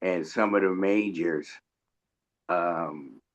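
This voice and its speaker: A man in casual chat on a radio show, halting as he searches for words, over a dull lo-fi online connection. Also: low-pitched.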